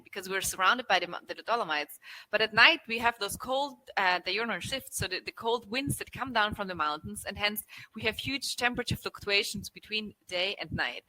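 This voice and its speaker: Winemaker woman, German native, from northern Italy; bright, chatty explainer on a video call. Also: medium pitch, rough recording. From northern Italy, Alto Adige. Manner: chatty, explanatory, frequent fillers and "right?". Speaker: winemaker guest. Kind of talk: casual interview over video call.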